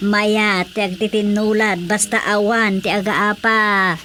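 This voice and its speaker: A woman's voice: mid-range voice, flat delivery, slow, sharp tone, slight background noise. An actress with an Ilocano accent playing a chatty, teasing village woman who loves gardening in a radio drama comedy. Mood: chatty, encouraging.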